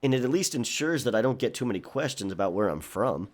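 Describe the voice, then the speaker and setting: A man playing a world-weary young pirate with a disgraced past in an audio drama, speaking in a halting, wry way. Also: medium pitch, expressive, close to an everyday voice. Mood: resigned.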